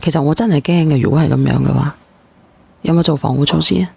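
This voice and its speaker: Insistent, exclamatory woman host, slightly low voice. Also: fairly even intonation, fast talker, creaky, dark tone, breathy edge. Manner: probing, exclamatory, repeats herself insistently. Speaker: call-in show host. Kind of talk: emotional radio phone-in talk.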